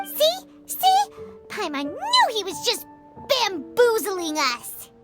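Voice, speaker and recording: A woman voicing a small, childlike, bossy fairy companion in a video game, squeaky and exclamatory. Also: wildly swooping pitch, slow, full character voice. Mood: indignant. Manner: refers to self in third person, exclamatory. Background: music.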